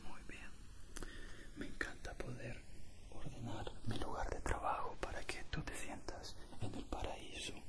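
A man in his twenties doing a gentle, soothing ASMR barber roleplay in an unhurried, breathy whisper, close to the mic. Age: 20s. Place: indoor barbershop.

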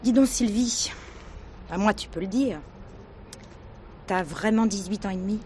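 A woman's voice: medium pitch, a little slow, darkish tone, slight background noise, close to an everyday voice. An actress playing a brassy, nosy young working girl in a radio drama, slangy and teasing. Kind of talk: French radio drama acting.

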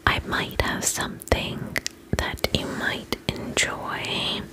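A woman whispering in an ASMR role-play as a spa attendant, gentle, soothing and reassuring, speaking in short phrases with pauses. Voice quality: unvoiced whisper.

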